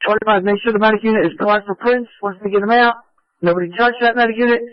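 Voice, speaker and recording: A man's voice: sits high, steady tone, dry recording, lo-fi sound. A male police supervisor giving curt orders over the radio. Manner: curt rapid commands. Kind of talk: radio commands.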